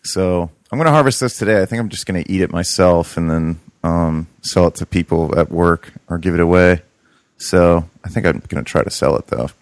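Casual talker, young man, very low voice, loose rambly way of speaking. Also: fairly quick, no echo. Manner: rambling, many fillers and restarts. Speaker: podcast co-host, urban farmer. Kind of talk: casual chat.

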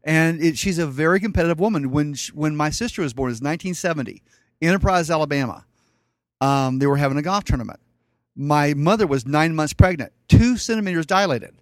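A man's voice: fairly high pitch, lively intonation, brisk, no room sound. A middle-aged man telling family stories in a blunt, wry way, a guest in casual conversation. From Colombia. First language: Spanish. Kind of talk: casual storytelling.

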